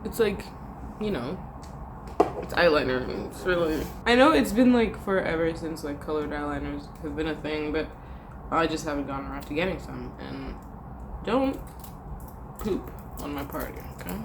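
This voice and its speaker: A woman's voice: sits low, normal speed, background noise. Chatty young woman vlogging at home. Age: young adult. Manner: chatty and rambling, trailing off with "like". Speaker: university student vlogger. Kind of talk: casual vlog chat to camera.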